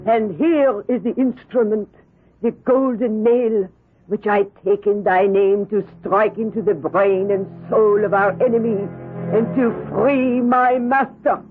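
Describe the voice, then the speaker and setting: A woman's voice: mid-range voice, fairly even intonation, measured pace, close to an everyday voice. An actress playing a grave, fanatical old voodoo woman with an incantatory delivery in an old-time radio drama. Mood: reverent, chanting. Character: old female voodoo devotee, solemn, fanatical, weary. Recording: muffled narrowband old radio recording, faint music.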